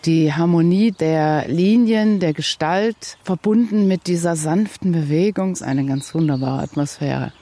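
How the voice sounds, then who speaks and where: A woman's voice: fairly low pitch, a little slow, hardly any pauses, mellow, no room sound. A woman speaking spontaneously as a visitor being interviewed.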